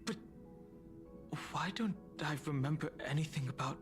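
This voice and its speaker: A performer playing a gentle, puzzled young traveler in a video game, hesitant and wondering. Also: medium pitch, moderate pace, close to an everyday voice. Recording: music clearly behind voice.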